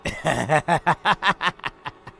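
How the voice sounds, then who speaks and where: A man voicing a bloodthirsty evil spirit in an animated horror story, speaking in a menacing, drawn-out way with a gravelly voice. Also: mid-range voice, soft background music. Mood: gloating menace. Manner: slow, drawn-out menacing delivery.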